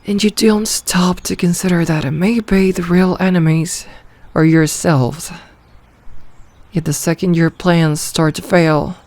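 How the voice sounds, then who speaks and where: A woman playing a possessive, commanding female werewolf leader in an ASMR audio roleplay, with deliberate, formal phrasing. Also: lowish voice, a little mellow, no echo, close to an everyday voice. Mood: scornful, commanding.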